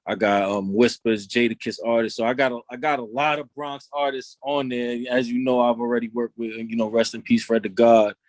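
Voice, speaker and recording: A man's voice: mid-range voice, little pitch movement, a little fast, few pauses, no echo. A young man from Long Island, talking loosely in an interview and often saying "you know what I'm saying". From Long Island, New York. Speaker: rapper guest. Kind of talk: casual interview talk.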